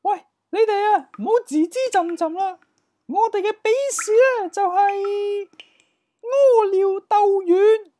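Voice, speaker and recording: A woman's voice: extremely high pitch, slow, warm tone, dry recording. A woman with a Hong Kong accent playing a belligerent, lovestruck male rival gangster who blusters and complains in a comic radio drama. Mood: challenging.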